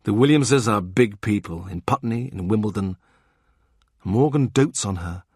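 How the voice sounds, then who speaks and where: Male audiobook narrator, lowish husky voice, dry close recording, calm even read. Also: a little slow, no room sound. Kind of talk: scripted narration.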